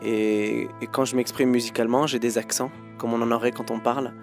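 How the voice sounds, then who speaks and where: A man in his thirties with a gravelly, mid-pitched voice answers interview questions as a guest musician. He speaks thoughtfully and hesitantly, with frequent fillers and restarts. Faint music plays in the background. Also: little pitch movement, brisk.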